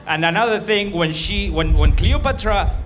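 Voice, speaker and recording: A man's voice: high voice, moderate pace. A man with an Argentinian accent doing a crude, rambling, self-mocking stand-up set in a club. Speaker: stand-up comedian. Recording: live club with loud crowd.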